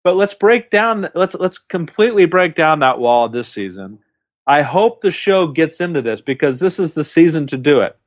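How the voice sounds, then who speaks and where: Guy co-hosting a podcast, sure of his takes, kinda high voice, dull dry audio. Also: big pitch swings, moderate pace, dry recording. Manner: opinionated, speculative. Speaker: podcast co-host. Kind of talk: casual podcast chat.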